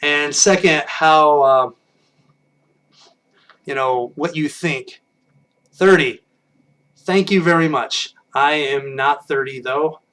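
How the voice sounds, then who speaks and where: Late-30s man, stream host, slightly high voice, rambling and self-mocking, drifts off the webcam mic. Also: takes it slowly, pauses often, fairly bright tone, far from the mic. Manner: rambling and self-deprecating, many restarts. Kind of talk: casual chat with viewers.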